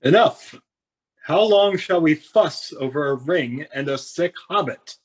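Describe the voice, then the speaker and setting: A man's voice: fairly high pitch, animated, a little slow. A man playing a boastful, impatient human warrior in a fantasy table read, theatrical and indignant.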